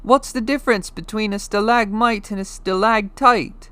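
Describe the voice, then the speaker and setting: A man's voice: high voice, moderate pace, sharp tone, clear, resonant tone, dry recording, voice pushed up. A man voicing a curious eleven-year-old boy wizard in a storybook reading, eager and full of questions. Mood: calling out.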